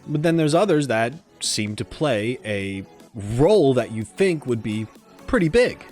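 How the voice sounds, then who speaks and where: A man gives casual gaming reaction commentary in a mid-pitched voice. He rambles along in a chatty, self-deprecating way, with faint music behind him. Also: sing-song, unhurried, a little mellow. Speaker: reaction video host.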